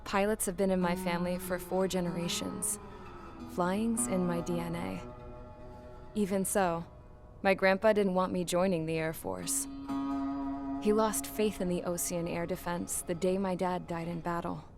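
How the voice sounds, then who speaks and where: A woman's voice: on the low side, fairly even intonation, normal speed, music in the background, natural voice. A woman playing a plain-spoken, gutsy young pilot and mechanic, narrating her own story in a scripted game. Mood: wistful, matter-of-fact. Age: young adult.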